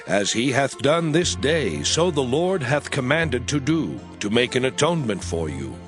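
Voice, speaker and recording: An actor playing Moses, a stern aged lawgiver giving solemn commands in a dramatized scripture reading. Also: male voice, medium pitch, sing-song, unhurried, music in the background.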